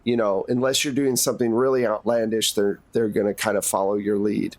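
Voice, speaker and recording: A man's voice: fairly low pitch, fairly even intonation, a little fast, darkish tone, no echo. A man hosting and narrating a tabletop role-playing game as game master, improvising casually.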